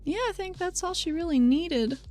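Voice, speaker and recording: A woman's voice: very high voice, normal speed, a little mellow, soft background music. A woman chatting casually as a player in a tabletop roleplaying game, playful, informal and sweary. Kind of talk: casual improvised game chat.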